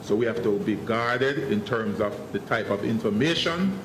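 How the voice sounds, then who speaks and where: A man speaking as a senior police investigator at a press briefing, guarded and formal, often halting and repeating words. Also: on the low side, expressive, echoey room. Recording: noisy online press briefing audio.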